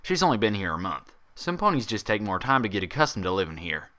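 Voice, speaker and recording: Man as a folksy, reassuring pony doctor, animated delivery. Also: lowish voice, fast talker. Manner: folksy, soothing, patient.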